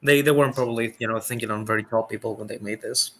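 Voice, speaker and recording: Bright-voiced man running a game. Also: fairly low pitch, steady tone, fast. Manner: hesitant, many fillers. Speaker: game master. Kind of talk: narrating a tabletop game online.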